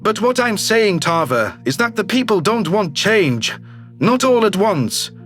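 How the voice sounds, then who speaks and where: A man's voice: high-pitched, very animated, a little slow, fairly bright tone, soft background music, heavily acted. A man voicing a brash, slick alien governor who talks with glib self-assurance, in a sci-fi audio drama. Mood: smug, pragmatic. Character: brash, slick alien politician, newly elected male governor. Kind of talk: audio drama character reading.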